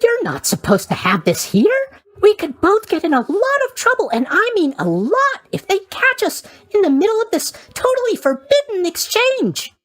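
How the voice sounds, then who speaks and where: A man's voice: very high-pitched, huge pitch swings, normal speed, fairly bright tone, full character voice. A man voicing a chatty, eager-to-please young god of sleep in a video game, rambling along with bubbly asides. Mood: overwhelmed, touched. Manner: rambling, bubbly, hesitant asides, tag questions. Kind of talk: video game character voice acting.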